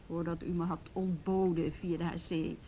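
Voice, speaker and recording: Low, mellow policewoman, acted by a woman. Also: flat delivery, normal speed, lightly acted. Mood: matter-of-fact. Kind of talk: Dutch radio drama acting. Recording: old radio recording, faint rumbly noise.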